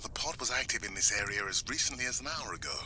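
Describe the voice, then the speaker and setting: An actor playing a supportive, level-headed man on a marine research team in a video game, with a low-key delivery. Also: lively intonation, fast, bright, forceful tone, slight background noise. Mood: quiet, concerned. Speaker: dive support teammate. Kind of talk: naturalistic video game cutscene acting.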